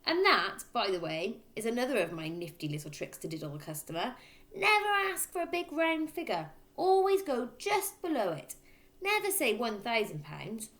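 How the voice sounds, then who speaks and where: A woman voicing a boastful, crooked father who sells used cars, with a loud, smug, emphatic delivery, in a children's storybook reading. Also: a little high, pitched above the performer's own voice. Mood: smug, sly. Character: boastful, crooked middle-aged father, a used-car dealer.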